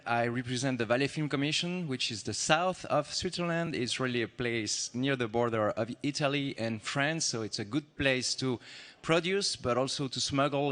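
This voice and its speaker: A man at a middle pitch speaks on a festival panel, his voice trailing into a long hall reverb. He talks in a relaxed way and drops jokes easily. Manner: joking, relaxed. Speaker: film commissioner. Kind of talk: panel talk.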